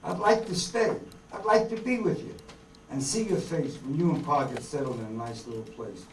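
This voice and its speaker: A man's voice: on the high side, moderate pace, natural voice. A man playing an earnest, idealistic young farm-born outlaw in folksy rural dialect, in a staged radio drama reading. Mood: regretful. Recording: roomy hall, distant mic, faint noise.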